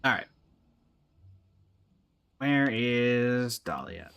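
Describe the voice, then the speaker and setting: A man's voice: mid-range voice, lively intonation, drawn-out words, gravelly, fairly bright tone, clean tone. A man streaming a video game, giving casual live commentary, greeting viewers and making playful asides to himself and the characters.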